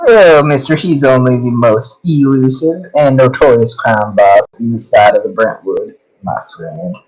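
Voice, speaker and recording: A man voicing a swaggering, friendly cowboy gunslinger, with a loud, drawling delivery and a folksy manner. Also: medium pitch, measured pace, lightly acted, rough recording. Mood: boastful. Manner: folksy twang, calls people partner.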